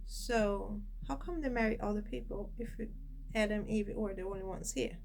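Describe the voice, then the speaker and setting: A woman in her forties from Brazil with a Brazilian Portuguese accent, talking to camera about her life in her second language, pausing often to find words. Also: mid-range voice, gravelly, a little mellow, a little hiss or hum. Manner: hesitant, searching for words, many fillers. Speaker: channel host. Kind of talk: personal talk to camera.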